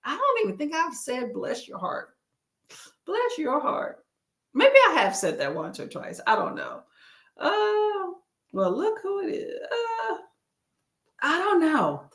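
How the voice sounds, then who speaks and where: A woman's voice: sits high, wildly swooping pitch, slow, pauses often, fairly bright tone, roomy sound. A woman in her thirties from Texas with a light Southern accent, chatting casually and laughing often as she hosts a book livestream. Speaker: booktube livestream host. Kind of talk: casual live chat.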